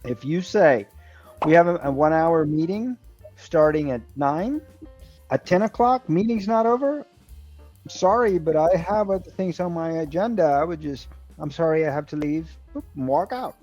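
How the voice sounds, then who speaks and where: A man's voice: on the high side, expressive, moderate pace, darkish tone, highs cut off, faint music behind. Male tutor, explaining conversationally. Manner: explanatory, acts out short examples. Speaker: English tutor. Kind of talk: conversational online lesson. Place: online video call.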